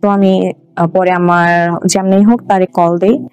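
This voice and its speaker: A woman's voice: fairly low pitch, little pitch movement, a little slow, darkish tone, faint music behind. A young adult woman with a Bangladeshi accent, a guest recounting her own life in a conversational way. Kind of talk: conversational personal storytelling.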